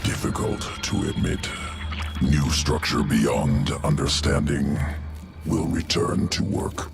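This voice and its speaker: Gravelly alien geneticist creature. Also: male voice, extremely low pitch, animated, takes it slowly, exaggerated voice. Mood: detached, analytical. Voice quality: guttural, gravelly. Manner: clipped telegraphic speech without articles. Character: alien geneticist creature, clipped, cold, analytical. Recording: heavy soundtrack music behind the voice.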